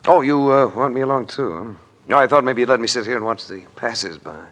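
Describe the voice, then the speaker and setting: An actor playing a wry, hard-boiled private eye with an American accent, dry and sardonic, in radio drama acting. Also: male voice, mid-range voice, quick pace, fairly bright tone, natural voice. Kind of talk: 1950s radio drama acting with first-person narration. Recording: very noisy old radio recording.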